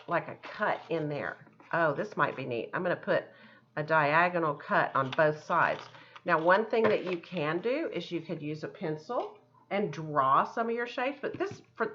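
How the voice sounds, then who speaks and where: A woman's voice: on the low side, fairly bright tone, a little hiss or hum. A woman art teacher giving children a step-by-step craft lesson in simple, encouraging words, often thinking aloud, on a dull lo-fi recording.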